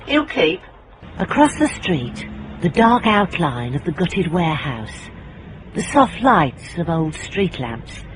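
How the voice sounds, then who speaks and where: An actress with a British accent playing a brisk, bossy, wry private investigator in a radio drama. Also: female voice, on the low side, big pitch swings, a little hiss or hum, low-quality recording. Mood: brooding. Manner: brisk, commanding, teasing. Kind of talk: radio drama acting with noir monologue.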